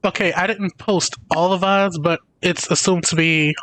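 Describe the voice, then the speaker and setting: Casual man, slightly high voice. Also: steady tone, moderate pace, a bit bright, low-quality recording. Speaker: game streamer. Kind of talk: casual livestream chat.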